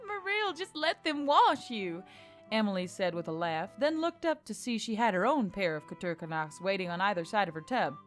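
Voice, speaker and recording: A woman's voice: on the low side, animated, fairly quick, few pauses. A woman reading a scripted fantasy story as narrator. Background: music.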